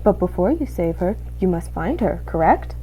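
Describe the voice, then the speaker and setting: A sly male rat villain from a storybook, acted out by a woman reader. The voice is mellow and swoops widely as it oozes false courtesy and drawn-out insinuation. There is a faint noise behind it. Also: lowish voice, big pitch swings, low-quality recording. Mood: oily, mock-friendly.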